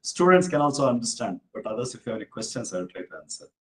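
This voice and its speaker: Fast-talking man explaining science. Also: medium pitch, very animated. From Bangalore, India. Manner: explains step by step, fast, with many "you know" and "uh". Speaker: invited speaker, genomics professor. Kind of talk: answering questions after a lecture. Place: office hall with an audience.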